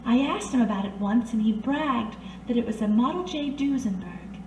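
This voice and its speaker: A high-pitched, knowing woman of the 1930s underworld, played by an actress, coaxes and soothes with unflappable poise. It is radio detective drama, heard in a roomy space a little away from the mic. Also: lively intonation, normal speed, a little mellow. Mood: assured, flirtatious. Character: blind, worldly, soothing 1930s working woman who knows underworld secrets. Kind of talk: old-time radio detective drama acting. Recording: roomy, distant amateur recording, faint noise.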